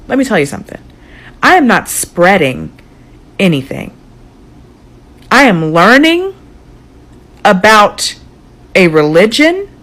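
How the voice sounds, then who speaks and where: An American woman talking casually to camera as a content creator, with an expressive, emphatic delivery. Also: fairly low pitch. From United States. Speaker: social media content creator. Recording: clearly noisy clip with room ambience.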